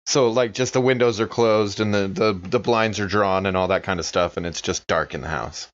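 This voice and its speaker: A man's voice: lowish voice, moderate pace, dull audio. A man improvising casually as a player in a tabletop role-playing game. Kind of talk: casual in-character improvised play.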